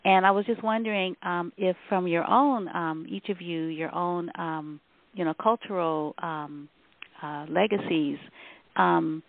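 Host woman with a low, gravelly voice and an unhurried, halting way of asking questions, heard over a tinny phone line. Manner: slow, halting, with many fillers. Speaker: radio show host. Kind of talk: interview questions.

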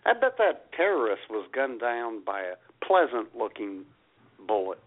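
A man's voice: medium pitch, sing-song, measured pace, phone-quality sound. A man co-hosting a comedy radio show in casual, bantering conversation.

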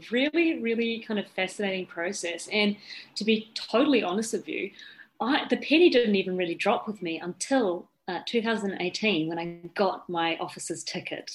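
A woman's voice: mid-range voice, low-quality recording. A woman in her thirties with a New Zealand accent, chatting as an interview guest, upbeat and laughing often. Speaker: guest, yacht officer and founder. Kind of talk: casual interview chat.

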